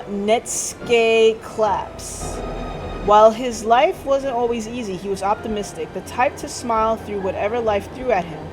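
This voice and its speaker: Slow-paced woman streamer reading aloud. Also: medium pitch, noisy background. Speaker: game streamer. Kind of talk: reading visual novel text aloud on a livestream.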